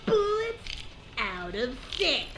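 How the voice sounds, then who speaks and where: A woman voicing an eager, jittery, reckless boy with halting, excitable speech in a comedy cartoon. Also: sits high, reverberant, noisy background, heavily acted. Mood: nervous, eager.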